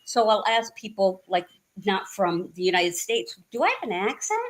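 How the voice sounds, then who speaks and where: A woman from New England with a subtle regional accent, chatting hesitantly and laughing softly as she gets a reading. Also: mid-range voice, very animated, normal speed. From New Hampshire and Massachusetts, US. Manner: hesitant, frequent soft laughter. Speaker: guest receiving a reading. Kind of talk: casual chat.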